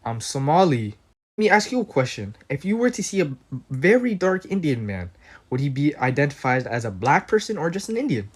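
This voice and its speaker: Assertive man with a lively voice. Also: on the high side, fairly quick, slightly rough. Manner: assertive, questioning. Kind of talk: short clip monologue.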